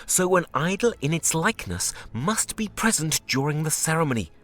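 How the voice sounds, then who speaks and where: Bookish male translator, incredulous storyteller, very animated intonation, video game drama, music underneath. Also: on the high side, moderate pace. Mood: sceptical storytelling. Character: scholarly, sceptical male translator of ancient books.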